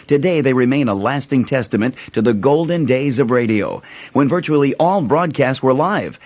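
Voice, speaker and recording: A man's voice: mid-range voice, darkish tone. A man reading scripted narration as presenter of a recorded collection. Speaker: narrator introducing a bloopers collection.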